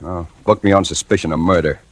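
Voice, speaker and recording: An actor playing a dogged, hardboiled newspaper reporter in a 1940s radio detective drama, with a husky voice and wry, clipped delivery. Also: male voice, low-pitched, steady tone, quick pace, no echo. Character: dogged hardboiled male newspaper reporter narrating his case. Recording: old radio recording with faint music.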